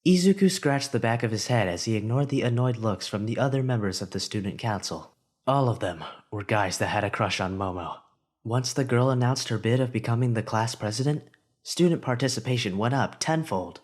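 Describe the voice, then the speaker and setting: A man's voice: medium pitch, brisk. Man narrating a fan audiobook expressively. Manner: expressive reading that lifts for the hero's inner thoughts. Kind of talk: story narration.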